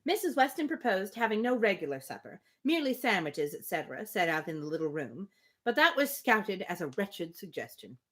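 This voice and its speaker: A woman's voice: medium pitch, sing-song, brisk. A woman reading a classic novel aloud expressively, breaking off for casual, chatty asides. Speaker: live book reader.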